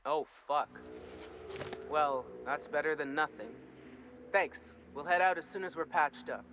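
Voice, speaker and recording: An actor playing a weary frontier soldier with a drawl, casual and folksy. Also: male voice, on the high side, a little slow, noisy background, natural voice. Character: weary frontier soldier in trouble, adult man.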